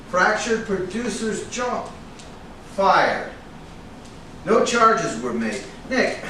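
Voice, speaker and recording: In a noisy, echoing room, far from the mic, a pushy and fretting talent agent holds forth , acted by a man at a staged reading. He speaks slowly and haltingly in a high voice, with a dramatic touch. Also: a bit bright, full character voice. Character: pushy, fretting male talent agent, accusing then flattering.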